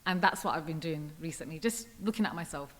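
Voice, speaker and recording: Young woman guest, even and unhurried, relaxed interview chat. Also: low-pitched. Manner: calm, reflective, often quotes herself. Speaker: podcast guest.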